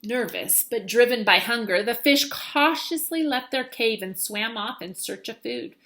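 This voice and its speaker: A woman's voice: fairly high pitch, lively intonation, unhurried, bright, forceful tone. A woman teacher reading a children's storybook aloud, expressively and with dramatic emphasis.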